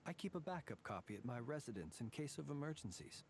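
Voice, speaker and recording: Ancient fae, male, game character, mid-pitched voice, formal and measured, hushed asides. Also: sing-song, heavy background noise. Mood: solemn. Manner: measured, formal, drops to near whispers. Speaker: lightkeeper guard. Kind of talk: video game dialogue.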